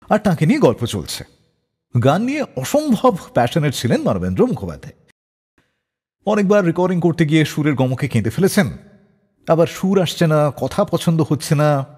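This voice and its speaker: A man with a slightly high, husky voice presents a radio music show as a storyteller. His pitch swoops widely and dramatically through the scripted narration. A long reverb surrounds him, with music behind. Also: darkish tone. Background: background music.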